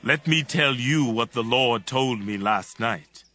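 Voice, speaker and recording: An actor playing a stern, indignant prophet in a dramatized scripture reading, declaiming with command. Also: male voice, mid-range voice, slow, sharp tone. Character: stern, indignant male prophet rebuking a king.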